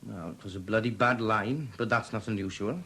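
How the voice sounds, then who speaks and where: Blunt grumbly bloke being questioned, colloquial, old 70s radio play. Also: male voice, fairly low pitch, a little fast, bright, forceful tone, a little hiss or hum. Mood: grumbling, blunt. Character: blunt, grumbling male witness, mildly sweary, plain-spoken. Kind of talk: 1970s radio drama acting. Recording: dated 1970s radio drama recording.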